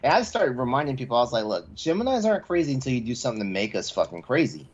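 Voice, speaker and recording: A man's voice: medium pitch, very animated, rapid-fire, breathy edge. A man co-hosting a podcast in casual, candid chat, rambling and repeating his words as he goes, with salty language. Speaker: podcast co-host. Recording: slightly dull, lo-fi voice track.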